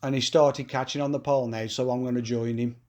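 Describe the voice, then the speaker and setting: A man's voice: mid-range voice, steady tone, fast, darkish tone. A man with a blocked-up nose from a cold, explaining his fishing tactics step by step in a casual, matter-of-fact way, outdoors at a fishing lake. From England. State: congested from a cold. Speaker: match angler vlogging his match.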